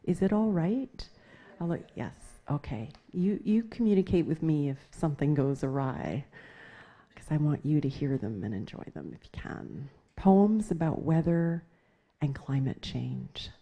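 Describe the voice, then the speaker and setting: A woman poet speaking softly and with measured phrasing, introducing her work and reading her poems on a theatre stage. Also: sits low, expressive, slightly rough, highs cut off. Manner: soft-spoken, measured. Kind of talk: poetry reading at a literary festival.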